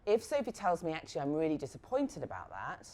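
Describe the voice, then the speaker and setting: Woman, middle-aged, workshop speaker, low voice, very animated intonation, frank. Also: quick pace. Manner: lively, frank, acts out example dialogues. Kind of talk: live workshop talk to assistants.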